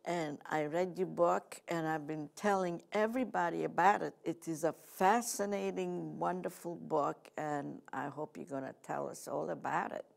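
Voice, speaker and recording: Hungarian-accent lady host, really low voice with some fry, chatty and gushy. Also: steady tone, moderate pace, few pauses. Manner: chatty, gushing, giggly. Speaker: talk show host. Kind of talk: casual interview chat.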